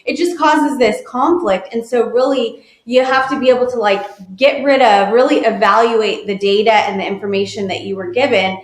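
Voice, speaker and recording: A woman's voice: fairly high pitch, normal speed, hardly any pauses. A woman, a guest in an interview, explaining in an earnest way with a lot of "like". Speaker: guest, wealth manager. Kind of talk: casual interview talk. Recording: roomy echo.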